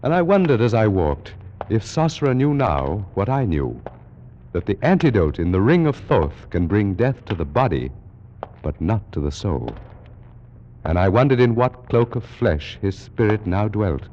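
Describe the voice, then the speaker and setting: An actor playing a cultured scholar with a secret ancient past, speaking in a formal, dramatic style from 1940s radio. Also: male voice, lowish voice, a little mellow, a little hiss or hum. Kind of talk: 1940s radio acting and first-person narration. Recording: muffled vintage radio recording.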